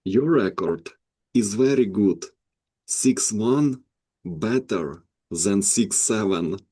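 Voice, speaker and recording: Male interviewer, real slow and mellow, asking casual questions. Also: on the low side, lively intonation, slightly rough.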